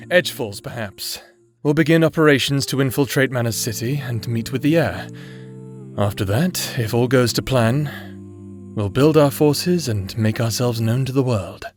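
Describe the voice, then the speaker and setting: Male actor as brooding necromancer, grieving and vengeful, medium pitch, theatrical monologue, audio drama, light music behind. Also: lightly acted. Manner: theatrical, solemn, dramatic pauses. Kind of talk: anime-style audio roleplay acting, dramatic monologue.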